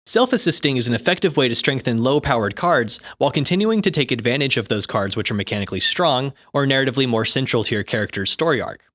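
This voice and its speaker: A man delivers scripted, instructive narration at a brisk clip. His voice sits at a medium pitch, and he articulates his explanations in a very dry, close recording. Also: animated, a bit bright, no echo. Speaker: co-host reading game rulebook commentary.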